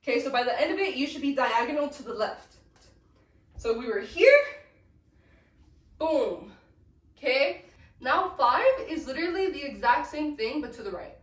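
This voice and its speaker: A woman's voice: sits high, animated, normal speed, pauses often. A young woman with a cold, sniffling as she casually teaches dance steps and counts the beats aloud. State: sick, sniffly. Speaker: dance tutorial instructor. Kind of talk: casual step-by-step dance instruction. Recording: echoey room, mic at a distance.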